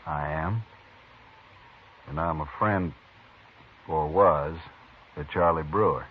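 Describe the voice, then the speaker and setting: An actor playing an angry, threatening Old West townsman, husky and blunt, in 1950s radio western style. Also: rumbling low, slow, a little hiss or hum. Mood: angry, accusing. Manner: blunt, defiant, folksy grammar. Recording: muffled 1950s radio recording.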